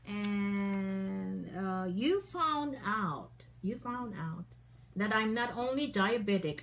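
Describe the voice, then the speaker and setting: A woman teaching an online English conversation class, patiently prompting and correcting her student. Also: medium pitch.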